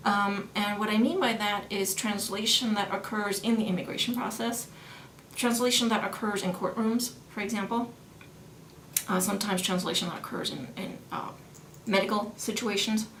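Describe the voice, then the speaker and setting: A woman's voice: mid-range voice, steady tone, normal speed, a bit bright. Middle-aged woman professor, roomy recording. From born in New York, raised in Vienna. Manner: thoughtful, many fillers and restarts. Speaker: German studies professor. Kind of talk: interview, casual explanation. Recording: roomy sound with faint background noise.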